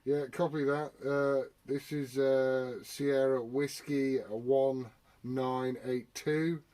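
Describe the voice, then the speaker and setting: A man's voice: mid-range voice, level tone, slow, dark tone. A man making casual radio contact as an amateur operator, using radio jargon over a transmission. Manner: radio jargon, spelling out with phonetic alphabet.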